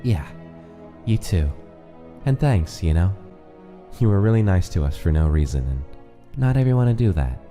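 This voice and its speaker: Low, mellow, laid-back skeleton guy played by a man. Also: animated, moderate pace, soft background music. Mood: grateful, awkward. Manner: casual, wry. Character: laid-back, self-doubting young male skeleton brother. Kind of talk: comic dub voice acting.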